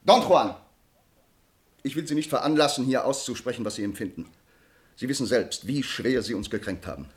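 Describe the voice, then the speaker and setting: Guy acting a proud formal nobleman in a radio play, medium pitch, really swoops around. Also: animated, moderate pace, long pauses, close to an everyday voice. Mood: solemn, impassioned. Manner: formal, eloquent, earnest. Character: honor-bound young nobleman, grateful, earnest, passionately restrained. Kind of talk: German radio play acting of classic drama.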